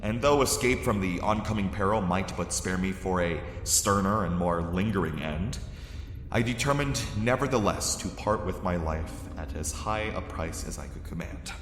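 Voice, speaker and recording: A man's voice: deep voice, normal speed, fairly bright tone. A man acting a terrified lost explorer, narrating his ordeal in formal, theatrical gothic horror style. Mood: grave dread. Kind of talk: dramatic first-person gothic horror narration. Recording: echoey cavern-like reverb, faint noise.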